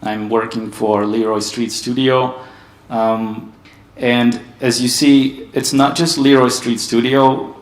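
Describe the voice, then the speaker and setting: A man from Hungary giving a conference panel talk, steady and hesitant with many ums. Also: medium pitch, slow, echoey room. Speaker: panel speaker. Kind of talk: conference presentation.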